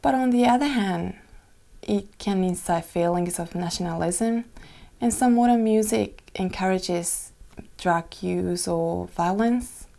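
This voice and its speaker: A woman's voice: fairly low pitch, slow, creaky, dark tone. A young woman from Japan answering exam questions in simple, careful English with small slips. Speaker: test candidate. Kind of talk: mock speaking exam answers.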